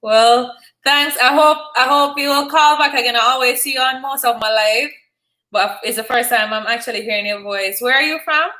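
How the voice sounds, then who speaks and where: A woman's voice: fairly high pitch, normal speed, sharp tone, low-quality recording. A woman hosting a call-in live stream, chatting casually and encouraging her caller. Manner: friendly, encouraging, chatty with laughs. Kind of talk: casual chat.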